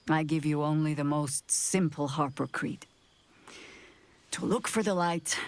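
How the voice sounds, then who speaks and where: Video game veteran leader: older, weary, measured delivery with dry wit. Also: female voice, sits low, vocal fry, full character voice. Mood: wry, warm. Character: weary, wry, battle-worn veteran woman, leader of freedom fighters. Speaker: leader of a secret order. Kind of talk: video game character acting.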